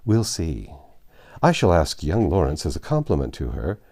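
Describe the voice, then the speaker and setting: Storybook society gossip, a woman played by a man: low, mellow, knowing, condescending. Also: huge pitch swings, moderate pace, heavily acted. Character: gossiping society women in a nineteenth-century storybook.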